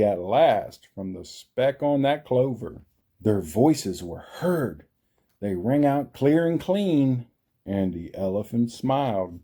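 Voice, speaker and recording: A man reading a picture book aloud to children, emphatic, deliberate and theatrical. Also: mid-range voice, mellow. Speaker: storybook reader for children. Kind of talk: animated read-aloud storytelling.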